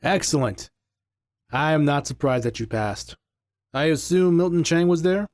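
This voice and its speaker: A man's voice: fairly high pitch, animated, moderate pace, a little mellow, no room sound, close to an everyday voice. A man acting a wry, confident adventurer, close and dry. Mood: pleased, curious. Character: confident, wry male leader of an adventuring trio. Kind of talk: audio drama acting.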